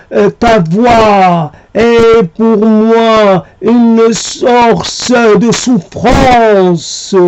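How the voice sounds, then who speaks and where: A man with a high-pitched voice recites poetry at an extremely slow pace. He breaks the text into short, separated phrases, so the delivery is halting and spoken piece by piece. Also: darkish tone, slight background noise, low-quality recording.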